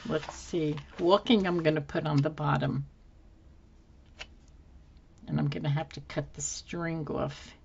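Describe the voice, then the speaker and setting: A slightly hoarse woman with a low, unhurried voice talks through her crafting at a desk. She muses aloud to herself as she goes. The audio is a bit dull and lo-fi. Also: steady tone, a little mellow, a little hiss or hum. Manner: unhurried, musing to herself with fillers. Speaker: planner decorating channel host. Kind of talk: casual thinking-aloud crafting narration. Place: indoors at a desk. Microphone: overhead camera, hands only.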